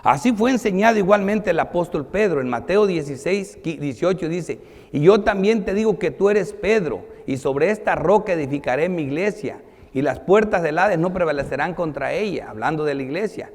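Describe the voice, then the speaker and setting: A male pastor with a high voice delivers a sermon, moving between scripture and explanation. He is emphatic, declaiming some phrases and dropping softer on others. Long reverb of a large hall surrounds his words. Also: animated, normal speed. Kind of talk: sermon, reading and explaining Bible verses.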